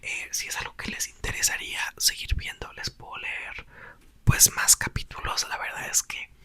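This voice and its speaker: Young man whispering ASMR reading. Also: moderate pace. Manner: soft, whispered throughout. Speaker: ASMR book reader and channel host.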